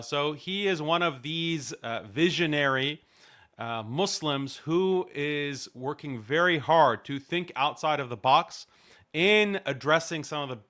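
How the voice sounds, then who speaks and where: A man hosting an interview show, opening with formal religious greetings and a measured, deliberate introduction of his guest. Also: medium pitch, sharp tone. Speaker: host. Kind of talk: podcast interview over video call.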